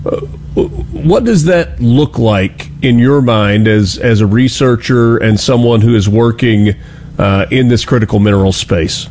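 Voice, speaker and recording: A man's voice: mid-range voice, measured pace, a little mellow, slight background noise. A man interviewing a guest as host, speaking in a courteous, easygoing and conversational broadcast style.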